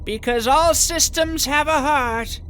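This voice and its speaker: A woman's voice: sits high, slow, a bit bright, a little hiss or hum. A woman playing a smug, idealistic imprisoned mastermind in a comic dub, speaking pompously and theatrically with menace. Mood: menacing zeal. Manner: pompous, philosophising.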